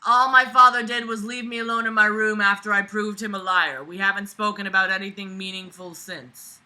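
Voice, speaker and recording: Sharp, exasperated reader with a blunt mouth. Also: mid-range voice, fairly even intonation, brisk, low-quality recording. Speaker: reader reacting to a book. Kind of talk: reading aloud with sarcastic running commentary.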